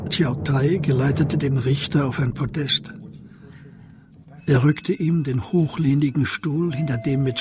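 A man narrating a radio crime drama in a measured, formal storytelling manner. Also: mid-range voice.

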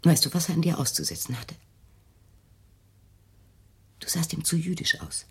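A woman playing a controlling, defensive middle-aged mother in an intimate radio play, measured and insistent, with a husky, breathy delivery. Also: deep voice, big pitch swings, exaggerated voice. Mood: cold, matter-of-fact. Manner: measured, insistent, self-justifying. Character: controlling, defensive mother of a fearful grown daughter.

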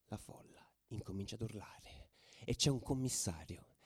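A man's voice: fairly low pitch, normal speed, husky, no echo, studio quality. A man playing a tough football ultra, telling his story as an intense, colloquial radio monologue. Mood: tense storytelling. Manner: colloquial, intense, swinging from hushed to shouted. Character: tough young male football ultra recounting his exploits.

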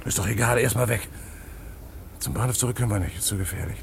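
Wary petty crook, gravelly voice, urgent, bossy, radio drama, faint noise behind. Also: male voice, on the low side, fast. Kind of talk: postwar German radio play acting.

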